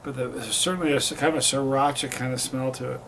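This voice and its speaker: A man's voice: medium pitch, fairly even intonation, fast talker, a bit bright, a little hiss or hum. A man from Vermont reviewing a hot sauce in a casual vlog, chatty and rambling, with many restarts and fillers. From Vermont, USA.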